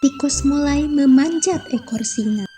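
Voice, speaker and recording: A young woman from Indonesia, a student, calmly narrating a children's fable. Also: high-pitched, measured pace, faint music behind. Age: young adult. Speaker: student storyteller. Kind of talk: scripted children's story narration.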